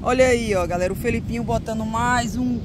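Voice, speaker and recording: A woman narrating children's toy play outdoors in a sing-song, animated way, with playful sound effects, recorded on a phone. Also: on the high side, brisk. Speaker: host of a kids' toy channel. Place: outdoors on grass in a beach park. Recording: outdoor noise with passing traffic.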